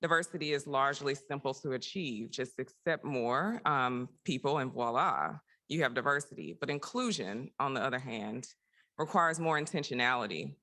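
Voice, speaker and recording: A woman's voice: a bass voice, expressive, moderate pace, sharp tone. A young woman, a medical fellow, giving a prepared conference presentation as a committee report.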